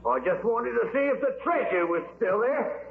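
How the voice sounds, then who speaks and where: An actor playing a wretched, cowering pirate underling who whines and pleads, in 1940s radio style. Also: male voice, very high-pitched, expressive, moderate pace, creaky, exaggerated voice. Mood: terrified pleading. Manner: whining, pleading. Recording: very noisy, echoey, tinny radio recording.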